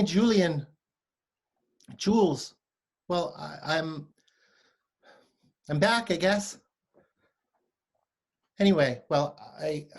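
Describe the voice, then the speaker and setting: Woman acting very slow, halting, pleading visitor. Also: sits low, darkish tone, breathy edge, full character voice. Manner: halting, repeating words. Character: Julian, tentative, pleading visitor to a dying lioness. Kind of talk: staged reading of a stage play. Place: remote staged reading over video call.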